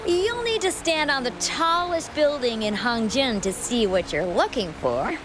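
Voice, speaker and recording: A woman's voice: high voice, big pitch swings, unhurried. A woman playing a helpful, knowledgeable travel guide writer in a fantasy video game, eager to explain, with music behind her.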